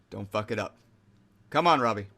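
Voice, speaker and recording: A man playing a brash, energetic, bossy stage illusionist in a table read. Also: on the low side, vocal fry, slight background noise, exaggerated voice.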